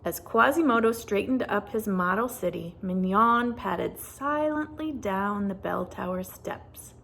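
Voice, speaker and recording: A woman reading a children's storybook aloud in a deliberate, animated storytelling manner. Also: medium pitch, vocal fry, slight background noise. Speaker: storybook reader. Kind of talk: expressive children's read-aloud narration.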